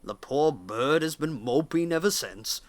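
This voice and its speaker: A man's voice: on the high side, lively intonation, unhurried. A man playing a grumbling, folksy older farmer neighbour in an audiobook drama.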